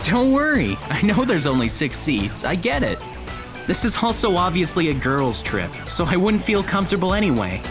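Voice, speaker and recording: A woman's voice: on the low side, very animated, normal speed, over music. A woman playing a considerate, slightly shy teenage boy in an audio drama. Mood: shy, good-natured.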